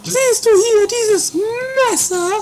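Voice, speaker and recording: A performer voicing a drunken, theatrical, murderous aristocratic widow in a high, whining, haughty falsetto for a trashy improvised horror comedy radio play. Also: slow pace, echoey room, over music, full character voice. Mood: drunken, pleading. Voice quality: very high-pitched put-on falsetto.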